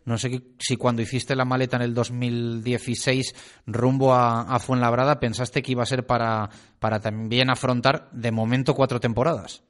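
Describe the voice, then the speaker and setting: Spanish sports radio host. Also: male voice, mid-range voice, little pitch movement, moderate pace. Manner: asks long questions, fills with "eh", says "ujú" while listening.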